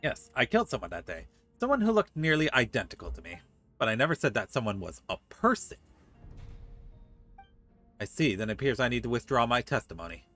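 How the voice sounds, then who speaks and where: Fast, bright-voiced man streaming games. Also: mid-range voice, very animated, faint music behind. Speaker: game streamer. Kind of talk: livestream game playthrough, reading dialogue aloud and commenting.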